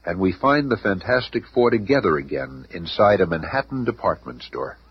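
A man's voice: deep voice, expressive, normal speed, husky, no room sound, exaggerated voice. A man narrating a superhero radio serial in a scripted, dramatic storytelling style. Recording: muffled old radio recording.

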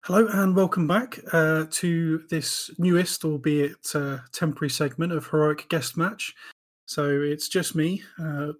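A man hosting a hobby podcast in a relaxed, chatty way, welcoming a guest. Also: fairly high pitch. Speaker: podcast host. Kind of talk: casual podcast chat.

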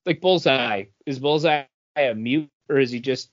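A man's voice: mid-range voice, measured pace, dry recording. Male podcast co-host, mid-range voice, loose rambling talk, dry and slightly dull recording. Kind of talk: casual, rambling chat about comic book movies.

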